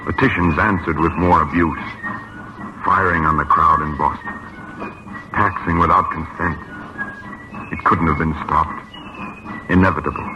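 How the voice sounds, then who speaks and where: An actor playing a solemn, idealistic young colonial gentleman with a halting, earnest delivery in a radio drama. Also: a bass voice, fairly even intonation. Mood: brooding, grave. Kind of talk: 1940s radio acting. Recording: dull, faintly noisy 1940s radio recording.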